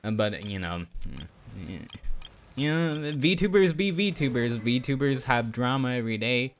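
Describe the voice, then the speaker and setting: A man's voice: medium pitch, lively intonation, unhurried, slight background noise. A man livestreaming in a casual chat over a game, rambling through his opinions and often repeating himself. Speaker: VTuber livestreamer.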